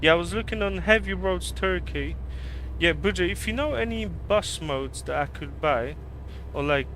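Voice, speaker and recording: A chatty male streamer who grew up in Canada, his voice sitting a little high for a man. He talks loosely while driving, answers viewers by name and keeps calling them mate, with a faint hiss of noise behind him. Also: normal speed, a bit bright. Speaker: game streamer. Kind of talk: casual live-stream chat while driving in a game.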